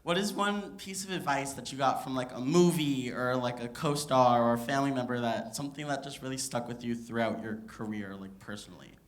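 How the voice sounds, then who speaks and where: Male student from California, average pitch, casual and hesitant, feeling his way through a question. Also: a little fast, hardly any pauses, echoey room. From Long Beach, California.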